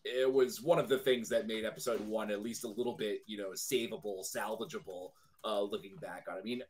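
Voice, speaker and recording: A man with a slightly low voice. He speaks as a podcast guest in a casual, conversational fan discussion. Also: steady tone, fairly quick.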